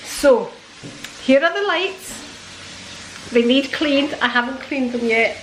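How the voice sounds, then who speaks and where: A woman's voice: high-pitched, animated, slow, fairly bright tone, slight background noise. Chatty woman in her sixties, echoey kitchen. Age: 60s. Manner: chatty and cheerful, rambling. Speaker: vlogger. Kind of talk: casual chat to camera. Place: home kitchen.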